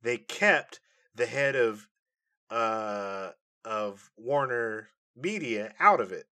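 A man's voice: medium pitch, lively intonation, slow pace, pushed and bright. Casual, chatty male podcast host. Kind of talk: casual nerd-news podcast chat.

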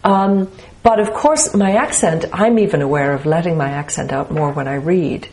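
An older woman from Dublin with a softened Irish accent, reading her memoir and then talking it over in a measured, thoughtful way. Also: low voice. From Ireland, Dublin. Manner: measured, thoughtful, lets a sentence trail and restart. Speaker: author and interview guest.

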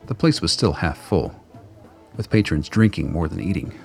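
A man's voice: sits low, lively intonation, normal speed, gravelly, soft background music. A man reading scripted third-person narration for a radio play.

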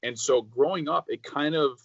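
A man's voice: a little high, moderate pace, vocal fry, husky, no echo. Chatty young man, dry muffled sound. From United States. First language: Croatian. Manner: rambling, many "like" fillers, animated storytelling. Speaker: guest. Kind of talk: casual interview chat.

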